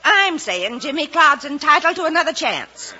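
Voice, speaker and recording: A feisty, bright-voiced Western rancher. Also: female voice, high-pitched, very animated, normal speed, full character voice. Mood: defiant. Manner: firm, folksy, plain-spoken. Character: feisty, forthright woman rancher. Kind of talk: 1950s Western radio acting. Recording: dull old radio recording.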